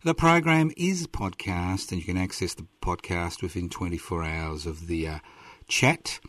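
A man's voice: low-pitched, normal speed, fairly bright tone, no room sound. A man, hosting a casual radio interview in a playful, teasing way. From Australia. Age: 60s. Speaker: radio host.

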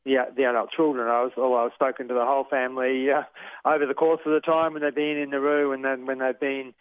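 Flat-voiced man on a phone line. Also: medium pitch, fast, clean tone, no echo. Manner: hesitant, many fillers, flat delivery. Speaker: refugee advocate guest. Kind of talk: phone interview. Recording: tinny phone line, dry.

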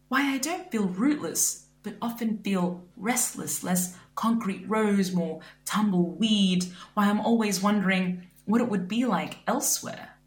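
Woman poet, reflective spoken-word delivery, roomy echo. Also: medium pitch. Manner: measured, reflective recitation.

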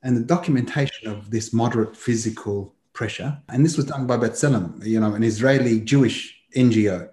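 A man's voice: mid-range voice, brisk, hardly any pauses, warm tone. Man, Australian accent, host, impassioned, echoey room. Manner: impassioned, lists grievances at length. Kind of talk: political podcast talk.

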